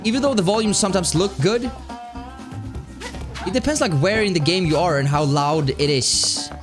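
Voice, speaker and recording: A man streaming a game, with a light, high-pitched voice, chatting casually and rambling with lots of laughs. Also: moderate pace. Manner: animated, rambling, laughs often. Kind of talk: casual live-stream chat while playing. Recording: music playing underneath. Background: game music.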